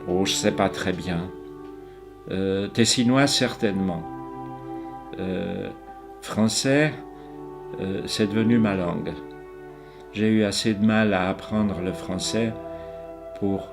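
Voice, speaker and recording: Slow, hesitant old man. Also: deep voice, vocal fry, over music. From Ticino, Switzerland. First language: Ticinese dialect. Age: 80s. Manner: slow, hesitant, many pauses and repeated words. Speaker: painter interviewed. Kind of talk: reflective interview answers.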